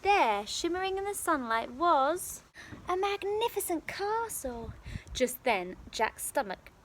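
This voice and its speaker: A woman telling a story to young children as a teacher would, with expressive, dramatic and careful phrasing, recorded outdoors. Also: extremely high pitch, slow. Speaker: primary school teacher. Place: outdoors in a garden. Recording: faint outdoor background noise.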